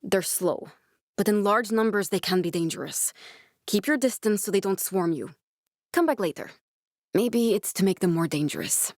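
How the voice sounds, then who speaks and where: A woman's voice: mid-range voice, lively intonation, normal speed, a little mellow, no echo, clean studio sound. An actress voicing a determined, caring young woman scientist in a video game, speaking in an earnest, direct, instructive way with naturalistic dramatic delivery. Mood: matter-of-fact, businesslike.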